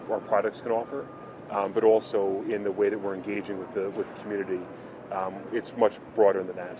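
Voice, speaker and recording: A man giving earnest corporate sales talk as a company representative, in an informal business interview at a trade show. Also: lowish voice. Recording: clear show-floor background noise.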